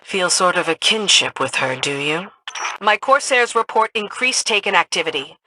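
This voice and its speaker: Female game commander, forceful bright voice, brisk orders, wry edge, loyal officer. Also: on the low side, expressive, slight background noise, low-quality recording. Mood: wry, teasing. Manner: commanding, dry wit. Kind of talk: video game character acting.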